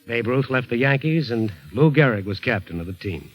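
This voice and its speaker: An actor playing a loyal, wisecracking friend who also narrates, in a 1940s radio drama. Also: male voice, medium pitch, expressive, no echo, highs cut off, faint music behind, close to an everyday voice. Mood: reflective. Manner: brisk, jovial. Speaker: narrator and character.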